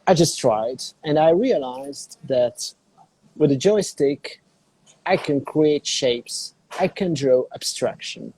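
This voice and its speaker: Middle-aged Hungarian man, unhurried, dark mellow timbre. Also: medium pitch, animated, husky, low-quality recording. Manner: unhurried, searching for words in a second language. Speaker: interview guest, digital artist. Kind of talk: casual interview on a call. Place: cafe in a small town.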